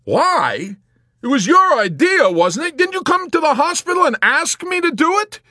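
Guy reading as frantic fed-up airman, snarly and loud, pitched way up, dry recording. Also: animated, normal speed, gravelly, no room sound. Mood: snarling, incredulous. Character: exasperated, frantic young American airman, snarling and urgent.

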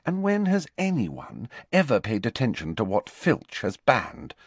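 A man voicing a bookish, exasperated teenage girl in a storybook reading, with a brisk, disapproving, explanatory manner. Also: medium pitch, huge pitch swings, husky, no echo, pitched above the performer's own voice, heavily acted. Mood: grim, scolding.

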